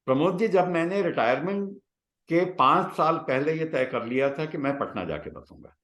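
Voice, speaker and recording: Guy, reminiscing, pretty animated pitch, echoey room. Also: normal speed. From Bihar, India. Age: 60s. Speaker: guest, retired scientist. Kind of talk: reflective personal storytelling in conversation. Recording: roomy, echoing sound.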